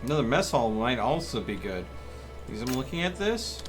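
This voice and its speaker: A man streams a video game with casual running commentary. His voice moves around a lot in pitch as he mutters to himself and dramatizes on-screen text. Music plays clearly behind him. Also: big pitch swings, a little fast. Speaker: gaming streamer. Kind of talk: casual live gameplay commentary, reading game text aloud.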